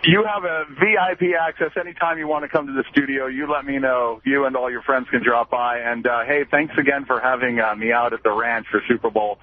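A man's voice: mid-range voice, steady tone, fairly quick, hardly any pauses. A man calling in to a radio show, effusive and chummy, calling the host buddy. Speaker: caller. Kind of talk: friendly phone-in chat. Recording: slightly muffled radio broadcast.

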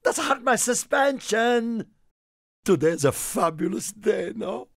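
A man voicing a small, excitable, friendly Italian car in a video game, theatrical and exclamatory, with an Italian accent and broken English. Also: sits high, slow, gravelly, no room sound, studio quality, exaggerated voice. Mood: offended, then eager, encouraging. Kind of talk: animated video game character lines.

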